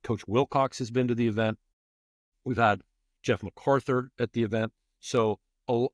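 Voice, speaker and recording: A man's voice: fairly low pitch, dry recording, studio quality. A middle-aged man talking as a podcast guest in an emphatic, conversational way, repeating phrases for stress. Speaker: podcast guest, former public address announcer. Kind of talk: spirited conversational interview.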